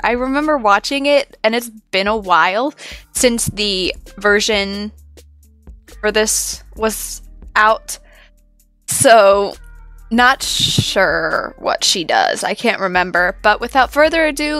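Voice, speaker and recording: A woman's voice: a little high, unhurried, fairly bright tone. A woman hosting a reaction video, chatting casually in a rambling, hesitant way with trailing thoughts. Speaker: host of a reaction channel. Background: faint music.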